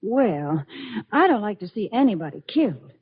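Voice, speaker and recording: A woman's voice: mid-range voice, big pitch swings, moderate pace, breathy edge. A woman announcer in 1940s radio style, delivering chatty, folksy sponsor banter. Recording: dull old radio recording.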